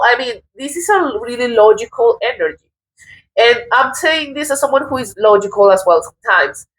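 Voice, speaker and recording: A woman from Colombia with a Colombian accent, giving a casual tarot reading in a halting, thoughtful way, often restarting her words. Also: a little high, a bit bright, low-quality recording. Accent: Colombian Spanish. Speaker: tarot reader.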